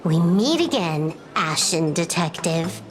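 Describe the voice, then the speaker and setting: A woman's voice: on the low side, expressive, takes it slowly. An actress playing a self-assured, teasing outlaw woman in a video game, with a sly, cool, taunting delivery. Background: background music.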